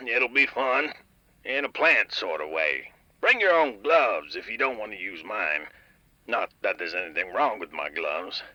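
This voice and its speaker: Man playing an awkward, slow-talking community gardener. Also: lowish voice, big pitch swings, sharp tone, no room sound, lo-fi sound, heavily acted. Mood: awkward, earnest. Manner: hesitant, halting, self-correcting. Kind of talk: homemade radio ad in audio drama.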